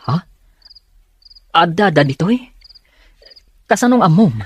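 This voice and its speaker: A man's voice: high voice, expressive, slow, husky, heavily acted. A man playing a skeptical, questioning young man in an Ilocano radio drama. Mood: surprised. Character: skeptical, inquisitive young city boarding-house friend.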